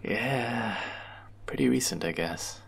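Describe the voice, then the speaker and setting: A man's voice: mid-range voice, takes it slowly, gravelly, husky, exaggerated voice. A man voicing a friendly, teasing young male barista in an audio roleplay, chatty and playful toward a regular customer.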